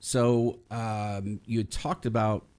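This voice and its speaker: A man with a low-pitched voice works as an interview host on radio. He speaks conversationally, feeling his way through each question with small repeats and pauses. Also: flat delivery, a little mellow. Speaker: radio show host interviewing a former student.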